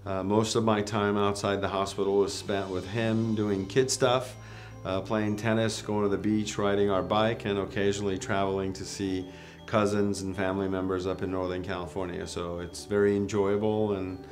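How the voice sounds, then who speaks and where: Male surgeon, forties, from Iran, lower pitch, calm earnest profile talk over faint guitar. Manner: measured, earnest, with occasional fillers. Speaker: orthopedic surgeon. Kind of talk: calm, reflective first-person profile talk. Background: faint guitar music.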